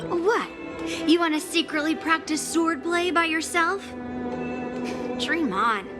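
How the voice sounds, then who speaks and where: A resolute, proud young female fighter in a video game. She speaks slowly and assertively in a high voice, with music clearly behind her. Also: lively intonation, full character voice. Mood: teasing. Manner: deliberate, assertive. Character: stern, proud young female swordsmith challenger, resolute, teasing.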